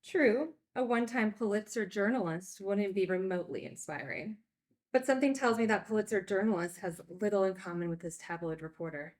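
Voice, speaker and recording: An actress playing a candid, wry recovering addict who teaches at a group home, in a naturalistic screenplay read. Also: female voice, medium pitch, a little fast, close to an everyday voice. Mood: wry, guarded.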